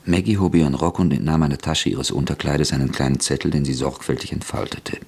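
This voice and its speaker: Very deep gravelly male narrator. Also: steady tone, darkish tone. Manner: measured, sober storytelling. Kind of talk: scripted radio drama narration.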